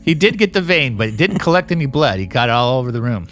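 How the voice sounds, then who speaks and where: A man's voice: mid-range voice, very animated, quick pace, fairly bright tone, soft background music. A man hosting a casual, joking chat with his elderly mother, laughing often and slipping into silly voices. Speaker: host interviewing his mother.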